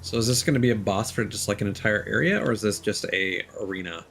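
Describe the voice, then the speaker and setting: A man's voice: on the low side, fast, a bit bright. A man streaming a game, rambling through live commentary and correcting himself often, with casual swearing. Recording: noisy background.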